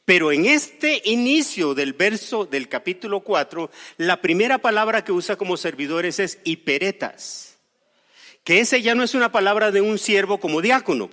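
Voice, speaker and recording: A man's voice: sits high, animated, moderate pace, sharp tone, long reverb tail. A man from Costa Rica preaching a sermon, explaining scripture with personal stories and light humor. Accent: Costa Rican Spanish. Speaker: pastor. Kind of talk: sermon with storytelling.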